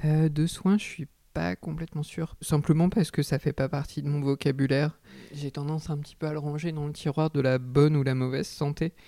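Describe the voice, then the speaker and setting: A man's voice: fairly high pitch, steady tone, a little fast, mellow, dry recording, studio quality. A man speaking as a textile artist in an interview, giving reflective answers and often hesitating while he searches for words.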